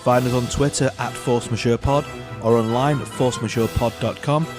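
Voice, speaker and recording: A man's voice: medium pitch, steady tone, normal speed, over music. A man reading a scripted trailer as a promo narrator.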